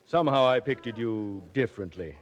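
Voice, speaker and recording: A male actor plays a calculating, suspicious gentleman in a 1940s radio mystery. He speaks curtly and formally in a medium-pitched voice, on a dull vintage recording. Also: a little fast, natural voice. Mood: cool, suspicious. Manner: curt, formal. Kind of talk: 1940s radio drama acting.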